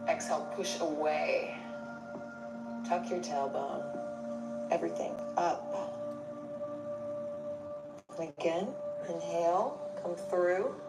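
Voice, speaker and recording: A woman's voice: lowish voice, slow pace, creaky, long pauses. A woman leading a guided yoga class, giving step-by-step cues for breath and movement in short phrases. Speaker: yoga instructor. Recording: roomy distant mic under loud music.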